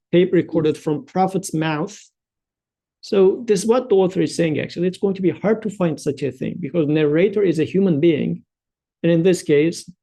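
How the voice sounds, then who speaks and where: A man's voice: high-pitched, warm tone. A man leading a study circle, explaining a text in a plain, conversational way, often starting with "so" and "like".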